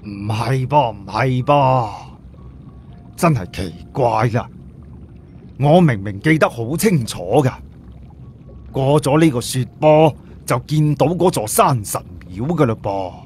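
A man's voice: medium pitch, animated, slow pace, a little mellow, slight background noise. A man voicing a proud old village chief in a story, exclaiming and grumbling, boastful and easily flustered. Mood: baffled, dismayed. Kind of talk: storybook character voice.

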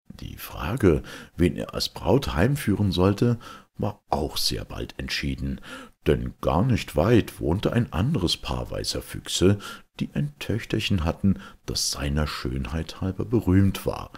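A man with a raspy, gravelly voice reading a fairy tale as a scripted narration in a deliberate, expressive manner. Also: very deep voice. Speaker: storyteller.

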